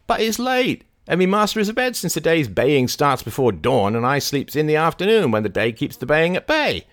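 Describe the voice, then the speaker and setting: A man's voice: on the high side, sing-song, moderate pace, fairly bright tone, no room sound, clean studio sound, voice pushed up. A man voicing a rustic, muddled, servile asylum keeper in an audiobook, stammering in folksy dialect. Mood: servile, eager.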